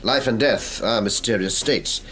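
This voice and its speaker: A man's voice: lowish voice, a little slow, a bit bright, a little hiss or hum. An actor playing a learned family doctor in a period audio drama, dryly pompous and formal in his pronouncements. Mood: musing. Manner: ponderous, mock-formal pronouncements.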